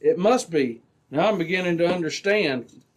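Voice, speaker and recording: Man acting a high-pitched, commanding Mountie hero. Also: animated, moderate pace. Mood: alert, commanding. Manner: decisive, authoritative. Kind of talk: re-created old-time radio drama acting.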